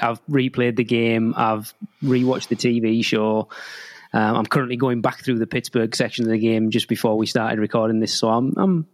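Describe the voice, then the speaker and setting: A man from Britain, an eager and enthusiastic guest on a podcast. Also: medium pitch. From United Kingdom. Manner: enthusiastic, eager, quick to laugh. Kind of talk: casual podcast chat.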